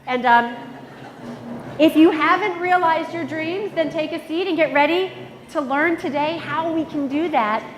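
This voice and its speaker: A woman's voice: high-pitched, a little slow, a little hiss or hum. A middle-aged woman with a nasal voice giving a motivational keynote speech, telling a personal story and talking straight to the audience. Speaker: conference keynote speaker. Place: large conference hall. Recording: long hall reverb. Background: long reverb of a large hall.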